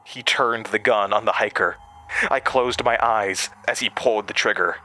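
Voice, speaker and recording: An actor playing a traumatized man recounting his horrifying past in an audio drama, halting and stammering. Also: fairly low pitch, steady tone, sharp tone. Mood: haunted, tense. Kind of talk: audio drama monologue, first-person narration. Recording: music under the voice.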